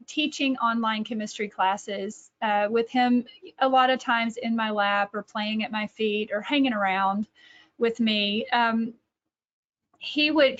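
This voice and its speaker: A middle-aged Texan woman talks over a video call, sharing a story with frequent restarts and fillers. Her voice sits fairly high, and the webcam audio comes through dull and lo-fi. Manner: warm anecdotal, many fillers and restarts. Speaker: author and catechesis presenter. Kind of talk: storytelling presentation. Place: home room, indoors.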